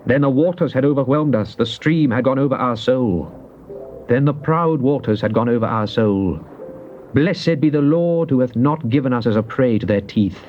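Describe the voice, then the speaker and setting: A man's voice: mid-range voice, darkish tone, lightly acted. Solemn man acting as devout psalmist. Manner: solemn, measured, theatrical. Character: devout psalmist pleading and praising God. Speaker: scripture reader. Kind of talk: dramatized King James Bible reading. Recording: dull, noisy old cassette tape.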